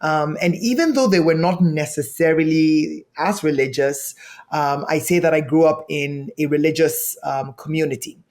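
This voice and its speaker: A man's voice: on the high side, darkish tone. Earnest young man from Ghana. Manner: earnest, measured, with fillers. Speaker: guest. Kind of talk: telling his life story in an interview.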